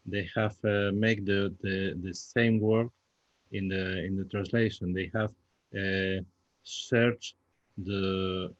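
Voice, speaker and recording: A man with a Spanish accent speaking halting English, searching for words as he answers as a playwright in a Q&A. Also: on the low side, dry recording, dull audio. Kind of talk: Q&A discussion.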